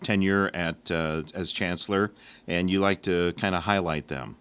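A man co-hosting a local radio show, asking friendly, measured questions. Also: deep voice, steady tone, fairly bright tone, clear, resonant tone, no room sound. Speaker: radio co-host. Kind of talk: casual radio interview.

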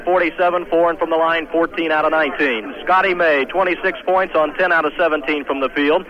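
Young man reading basketball stats on old radio. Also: a little high. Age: 20s. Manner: rattles off numbers with stumbles. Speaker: radio announcer. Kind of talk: archival radio game stats rundown. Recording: dull 1970s archive radio broadcast.

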